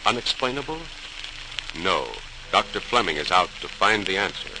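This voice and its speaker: A man's voice: low voice, animated, normal speed. A man narrating a 1940s radio drama as a solemn, suspenseful storyteller, theatrical and emphatic, on a crackling old recording. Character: solemn, suspenseful male storyteller of scientific discovery.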